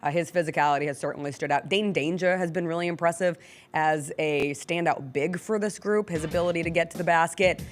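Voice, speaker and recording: A woman's voice: low-pitched, brisk, a little hiss or hum. A woman hosting a sports talk show, giving articulate, detailed conversational commentary.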